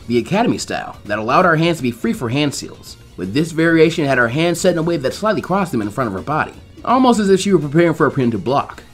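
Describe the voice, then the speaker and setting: A man reading fan fiction aloud as an animated scripted narration. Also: on the high side, slightly rough.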